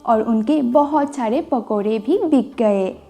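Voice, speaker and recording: A woman's voice: fairly high pitch, takes it slowly, dark tone. A woman narrating a scripted moral bedtime story as a storyteller. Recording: music bed under the voice. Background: background music.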